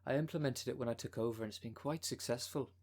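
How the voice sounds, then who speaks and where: A man playing a smooth-talking, con-artist female minister of a demon cult in an ASMR roleplay, with a polished, unctuous sales manner. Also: medium pitch, fast talker, darkish tone, lightly acted. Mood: persuasive. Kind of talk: ASMR roleplay audio drama.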